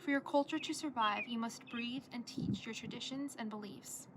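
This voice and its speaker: Noticeable ambient noise sits behind a young woman's voice, which is on the high side. She reads a prepared essay aloud like a student presenting her work. Her delivery is earnest and measured. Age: young adult.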